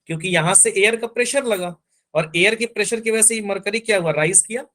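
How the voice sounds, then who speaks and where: Guy teaching physics online, slightly high voice, keeps asking if students get it. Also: a little fast, bright, forceful tone. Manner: explains step by step, often checks students understand. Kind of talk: online class lecture.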